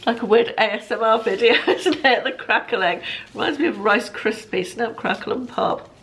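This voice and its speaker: A British woman in her fifties vlogging casually to camera, chatty and rambling, sounding slightly bunged up, in a bright living room. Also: on the high side, normal speed, a little hiss or hum. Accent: British English. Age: 50s. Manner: chatty, friendly, rambling with fillers and self-corrections. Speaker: lifestyle vlogger.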